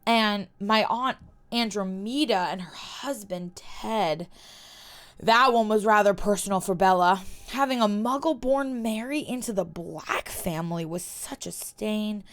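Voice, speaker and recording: A woman voicing a cold, taunting young male wizard in a story reading, speaking with quiet, measured menace. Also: medium pitch, natural voice. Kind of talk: reading a fan story aloud.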